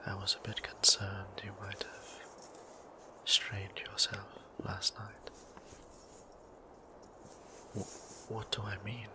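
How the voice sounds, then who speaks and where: A man playing a gentle, courteous vampire priest in an intimate audio roleplay, speaking in a breathy whisper with formal, old-fashioned phrasing. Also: monotone, slow pace, long pauses. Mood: bashful concern. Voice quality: breathy whisper with creaky low tone.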